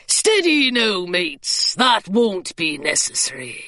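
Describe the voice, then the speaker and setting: A woman voicing a gruff, scheming male pirate captain who speaks in a slow, sneering drawl. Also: on the low side, sing-song, pushed and bright, voice pushed down, exaggerated voice. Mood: cautious. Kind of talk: audiobook character reading.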